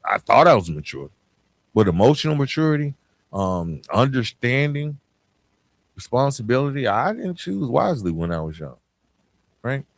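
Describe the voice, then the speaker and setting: An American man hosting a podcast, giving a reflective motivational monologue in an earnest, emphatic way with deliberate pauses. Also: mid-range voice, sing-song, vocal fry, no echo. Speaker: podcast host.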